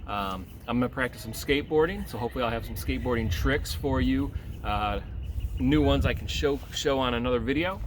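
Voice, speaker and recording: A man with an average-pitched voice speaks as an encouraging teacher doing a read-aloud. He moves between casual talk and expressive reading. The recording is made outdoors at home, with light noise in the background. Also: a little fast. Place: outdoors on a home back patio.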